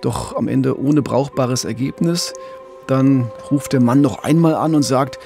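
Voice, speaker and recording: Male podcast co-host, German accent, mid-pitched with some creak, conversational true-crime storyteller over a music bed. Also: measured pace.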